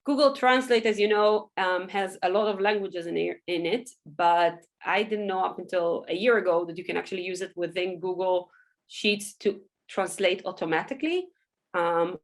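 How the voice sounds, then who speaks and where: A woman, a Hebrew speaker, giving a loose, chatty software workshop full of ums and small laughs. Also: on the low side. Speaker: workshop presenter. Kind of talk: casual software tutorial over screen share.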